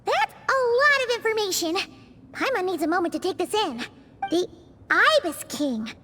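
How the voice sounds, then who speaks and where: Very squeaky, perky little fairy girl. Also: normal speed, big-room sound, heavily acted. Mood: upbeat, curious. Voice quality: squeaky, childlike. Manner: excitable, refers to herself in third person. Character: small childlike floating fairy girl companion, perky and blunt. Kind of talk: anime-style video game voice acting.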